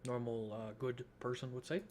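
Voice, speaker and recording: A man's voice: medium pitch, little pitch movement, moderate pace, darkish tone, slight background noise. A man doing casual let's play commentary as a streamer, with wry, joking asides. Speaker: video game streamer.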